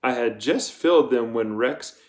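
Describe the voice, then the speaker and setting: A man's voice: medium pitch, measured pace, darkish tone, close to an everyday voice. Male narrator playing camp counselor, smug underling who placates his boss; audiobook character read. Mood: flustered, defensive.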